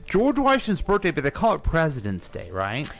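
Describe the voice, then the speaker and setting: A man's voice: on the high side, huge pitch swings, brisk, gravelly, a bit bright. A man co-hosting a radio show in casual, informal conversation. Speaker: radio co-host asking questions.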